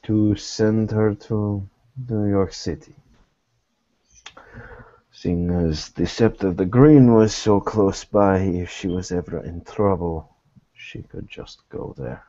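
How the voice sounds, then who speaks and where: A man improvising in-character dialogue in a tabletop role-playing session, with measured, formal phrasing. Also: low-pitched, dark tone, dull audio. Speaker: role-playing gamer voicing a private investigator.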